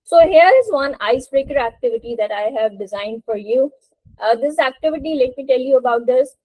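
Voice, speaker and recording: A woman's voice: high-pitched, a little fast, a little mellow, no room sound, low-quality recording. A woman teaching a spoken English lesson to a group on an online call, encouraging and prompting participants. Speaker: English teacher hosting a webinar.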